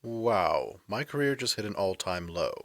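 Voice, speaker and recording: A man's voice: on the low side, expressive, measured pace. A man playing a sardonic, aloof vampire guardian in an audio drama, with a sarcastic, dismissive manner. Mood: dry disdain.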